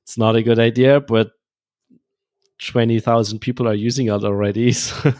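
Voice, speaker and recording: A man co-hosting a casual tech chat, relaxed and amused, laughing often. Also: medium pitch, normal speed. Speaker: co-host.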